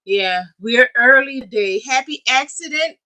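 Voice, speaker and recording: A woman's voice: high-pitched, expressive, moderate pace, sharp tone. A chatty woman co-hosting a casual livestream, greeting viewers by name, recorded on a camera-mounted mic in a home toy museum room.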